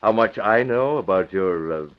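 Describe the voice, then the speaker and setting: An actor playing a confident, stern masked vigilante in an old radio drama, clipped and sardonic. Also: male voice, fairly low pitch, animated, normal speed, close to an everyday voice. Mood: menacing, sardonic. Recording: muffled vintage radio recording.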